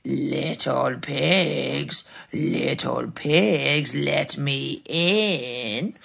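Woman playing a slow, menacing big bad wolf. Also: a bass voice, vocal fry, fairly bright tone, husky, pitched below the performer's own voice, exaggerated voice. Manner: slow, drawn-out threats. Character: big bad wolf, menacing male predator demanding entry. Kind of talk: children's storytelling.

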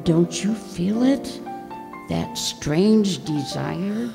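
An older woman playing an eerie, menacing undead stranger in a radio mystery play, speaking deliberately and ominously. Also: lowish voice, expressive, creaky, full character voice. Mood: ominous, knowing. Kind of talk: old-time radio mystery acting. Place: stage in a community hall, stand microphone. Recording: echoey hall, music over voice.

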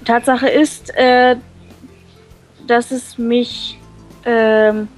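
A woman reading a visual novel aloud and translating it on the fly, halting and full of fillers. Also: a little high, flat delivery, soft background music. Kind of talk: casual let's-play reading.

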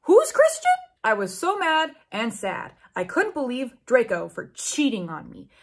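A woman's voice: a little high, very animated, a little slow. A woman in her twenties reading aloud and reacting in an incredulous, theatrical, comedic way, swearing freely. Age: 20s. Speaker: book channel host. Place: small room at home with bookshelves, indoors.

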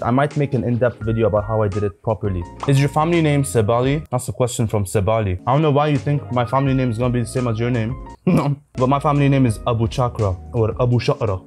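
A man's voice: mid-range voice, a little mellow. A young man from Nigeria with a mixed Lebanese and Nigerian accent, chatting through a food Q&A while eating at a table, on a lapel mic. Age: young adult. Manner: chatty, slangy, eating between answers. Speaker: food vlogger. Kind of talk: casual mukbang Q&A. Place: indoors at a dining table, shelves of glasses behind. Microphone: small lapel mic.

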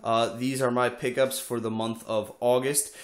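A man's voice: medium pitch, flat delivery, moderate pace. Young man with a stuffy nose. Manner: chatty, many "uh" fillers. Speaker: video game collector vlogger. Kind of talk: casual show-and-tell. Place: echoey room at home, off camera.

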